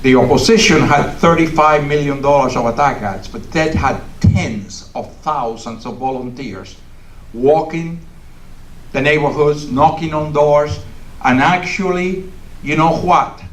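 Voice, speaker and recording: A man's voice: on the high side, measured pace, recorded from a distance, a little hiss or hum. Older Cuban-accented man, echoey hall. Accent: Cuban Spanish. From Cuba. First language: Spanish. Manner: emphatic, preacherly, builds with repetition. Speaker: guest speaker. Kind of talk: political speech. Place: meeting hall of a congregation.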